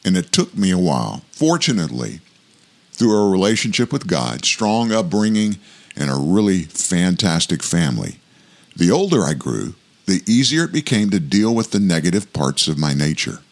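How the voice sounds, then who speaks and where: Sixties male host, deep booming voice, reads reflective scripted commentary at a steady pace. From South Louisiana, US. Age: 60s. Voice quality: big voice. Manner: measured, reflective delivery. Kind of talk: scripted political commentary monologue.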